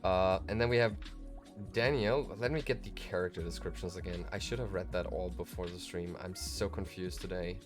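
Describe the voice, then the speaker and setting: A man with a fairly deep voice hosts a casual livestream tutorial over faint music. He sounds tired, thinks aloud and rambles, often putting himself down in an offhand way. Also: moderate pace. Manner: rambling, self-deprecating. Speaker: livestream host.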